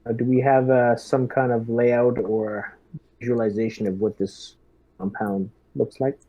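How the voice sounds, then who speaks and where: A man playing a tabletop roleplaying game, talking through tactics casually in a measured, halting way. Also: mid-range voice, level tone, dark tone. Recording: dull lo-fi voice-chat audio.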